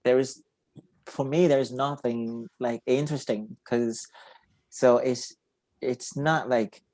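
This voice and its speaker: A man's voice: mid-range voice, steady tone, slow, darkish tone, dry recording. A man with a Portuguese accent, a native Portuguese speaker, hosting a casual interview and often searching for words. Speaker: podcast host.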